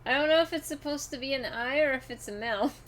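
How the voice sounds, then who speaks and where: A woman's voice: high-pitched, fast, slight background noise, low-quality recording. A woman streaming a video game, chatting casually with a co-host. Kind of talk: casual gameplay chat with a co-host. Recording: loud game music under voice. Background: game music.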